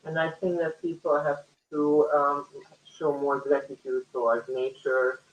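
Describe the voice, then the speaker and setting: A man speaking earnestly in an unscripted discussion, halting and repeating his words as he goes. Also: on the high side, level tone. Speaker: panel speaker. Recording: echoey, dull, distant-microphone call audio.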